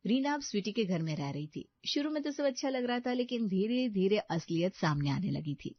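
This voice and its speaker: A woman's voice: medium pitch, very animated, fairly quick, no echo, lo-fi sound. A woman narrating a scripted moral story as its storyteller.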